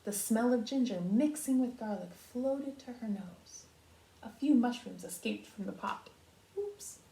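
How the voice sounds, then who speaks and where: A woman reading a children's storybook aloud like a kindergarten teacher, expressive and pausing to talk to young listeners. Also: on the high side, creaky, a little hiss or hum. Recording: roomy echo.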